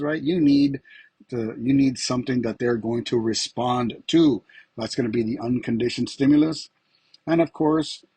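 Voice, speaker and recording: A man with a slightly husky voice explains a lesson to students in a relaxed, conversational way. He keeps checking in with them as he goes. The recording is dry and close. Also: medium pitch, dry recording, rough recording. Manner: chatty, addresses students with "right?". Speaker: teacher. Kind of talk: casual classroom explanation.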